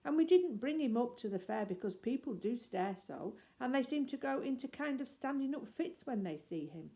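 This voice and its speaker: A woman's voice: high-pitched, animated, moderate pace, dark tone, pitched above the performer's own voice. An earnest little girl, acted by a female narrator, in a raised voice. She explains eagerly and persuasively, in children's storybook fashion. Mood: persuading.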